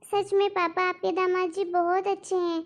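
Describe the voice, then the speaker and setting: A woman voicing a long-suffering, gentle young daughter-in-law in a cartoon family melodrama, speaking plaintively and earnestly. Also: very high voice, little pitch movement, normal speed. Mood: reassuring.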